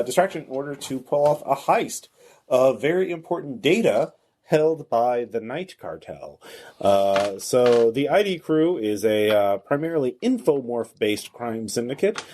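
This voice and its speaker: A man hosting a tabletop game as game master, narrating off the cuff in a halting, hesitant way. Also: fairly low pitch, mellow.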